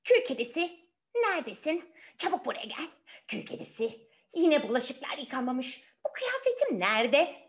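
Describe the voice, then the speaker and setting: A woman's voice: high voice, big pitch swings, moderate pace, voice pushed up. A woman voicing a bossy, scolding stepmother in a children's fairy tale, nagging and barking orders.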